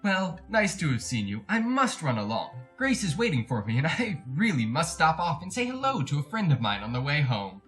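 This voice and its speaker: A man's voice: fairly high pitch, wildly swooping pitch, moderate pace, hardly any pauses, a bit bright, soft background music. A man playing a pompous, evasive salesman husband in retro radio drama style, with formal diction that turns to stammering when flustered. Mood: smooth, chatty. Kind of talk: retro 1940s radio comedy-thriller acting.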